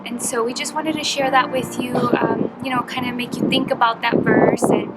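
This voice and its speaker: A woman's voice: on the high side, fairly even intonation, moderate pace, very noisy. A woman co-hosting a vlog, telling a story in a casual, chatty way. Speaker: vlog co-host. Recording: echoey room with faint music.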